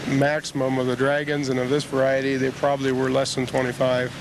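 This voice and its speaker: Even-toned middle-aged man, noisy outdoors. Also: mid-range voice. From Wisconsin, United States. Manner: measured, matter-of-fact, precise. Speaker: car owner being interviewed. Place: outdoors at a car meet. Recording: noisy outdoor on-location recording.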